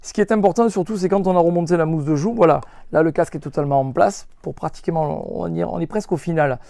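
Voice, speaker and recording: A man's voice: fairly high pitch, fast, creaky, dark tone, no echo. A man presents a hands-on product tutorial as a shop presenter, unscripted and instructive, often stressing what matters. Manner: instructive, emphatic, repeats reminders of what matters. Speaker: motorcycle accessory shop presenter.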